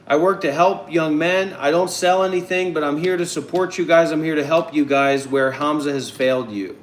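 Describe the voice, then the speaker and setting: Calm man hosting a voice call. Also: on the high side, reverberant. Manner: calm, deliberate, repeats himself to keep order. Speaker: host of a voice chat session.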